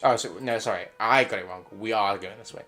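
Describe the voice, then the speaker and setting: A man's voice: fairly low pitch, brisk, pushed and bright, soft background music. Man mumbling through a puzzle game. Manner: muttering, reading puzzle text aloud. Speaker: game player. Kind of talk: let's play commentary.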